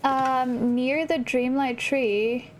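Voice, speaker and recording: A woman with a fairly high voice talks through a video game in a casual, slow-paced way, sometimes murmuring to herself. There is faint noise behind her. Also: steady tone, rough recording. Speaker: gaming channel host. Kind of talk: casual let's play commentary, reading game dialogue aloud.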